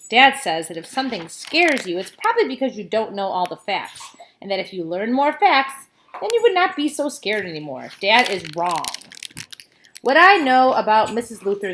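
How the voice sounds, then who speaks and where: A woman reading a children's story aloud like a teacher, with expressive emphasis on key words and casual asides. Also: medium pitch, a little hiss or hum, rough recording.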